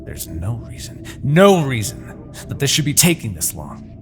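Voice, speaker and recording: A man's voice: mid-range voice, huge pitch swings, a little slow, soft background music. A man playing a smug, possessive demon king in an ASMR roleplay, speaking with haughty, theatrical formality. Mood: frustrated, exasperated. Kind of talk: ASMR roleplay, dramatic character acting.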